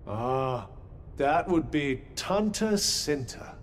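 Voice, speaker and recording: An actor playing an urbane, haughty magical companion in a video game, formal, wry and teasing. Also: male voice, fairly high pitch, very animated, extremely slow, slight background noise, exaggerated voice. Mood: dry, teasing. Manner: formal, wry, pompous phrasing. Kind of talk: video game cutscene acting.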